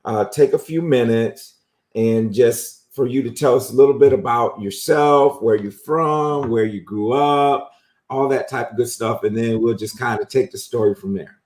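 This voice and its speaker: Man, live show host, relaxed, chatty, lots of fillers, roomy echo. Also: mid-range voice, moderate pace. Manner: relaxed, friendly, repeats phrases and fillers. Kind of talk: casual interview on a live stream.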